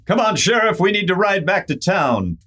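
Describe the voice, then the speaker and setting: An actor playing a courteous, assured masked western hero in a radio drama. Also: male voice, high-pitched, big pitch swings, moderate pace, pushed and bright, exaggerated voice. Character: calm heroic masked lawman of the West. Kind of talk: old-west radio drama acting.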